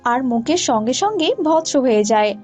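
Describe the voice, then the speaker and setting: A woman's voice: high voice, expressive, normal speed, a little mellow. A woman narrator reading a scripted story, with music behind.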